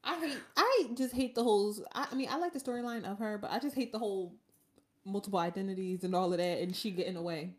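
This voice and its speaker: Squeaky-voiced woman talking fast. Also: a little mellow. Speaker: podcast co-host. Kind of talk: casual chat.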